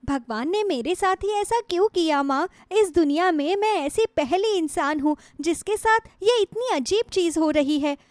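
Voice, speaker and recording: A woman's voice: very high voice, animated, normal speed, few pauses, a little mellow, no room sound, studio quality. A woman voicing a sad, anxious, earnest young woman in a moral story cartoon. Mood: dejected, plaintive.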